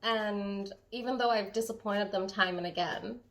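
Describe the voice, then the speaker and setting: A woman of middle pitch co-hosting a relaxed conversation. She rambles freely and pokes fun at herself as she talks. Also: normal speed. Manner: chatty, rambling, self-deprecating, laughs often. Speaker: co-host. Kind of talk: casual chat.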